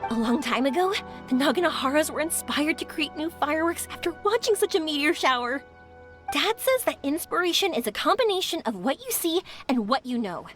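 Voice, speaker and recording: A young, adventurous firework maker from a video game. The voice is high and swings animatedly, friendly, chatty and full of enthusiasm, with faint music underneath. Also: female voice, animated, brisk, a bit bright. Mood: earnest, curious.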